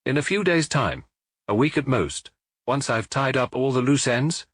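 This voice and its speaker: Man reading middle-aged detective, gruff, terse, husky, dry-voiced. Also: medium pitch, lively intonation, normal speed, dry recording, voice pushed up. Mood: weary.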